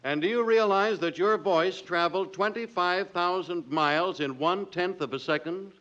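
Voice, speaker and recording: A man's voice: high voice, moderate pace, a bit bright. A mock-pompous, glib man doing 1940s radio announcer banter and sales patter. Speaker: radio announcer and comic foil. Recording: muffled, noisy old 1940s radio recording.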